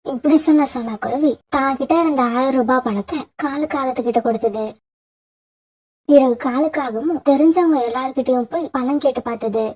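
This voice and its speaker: A woman's voice: sits high, fairly quick, darkish tone, breathy edge. A woman narrator reading a scripted children's story.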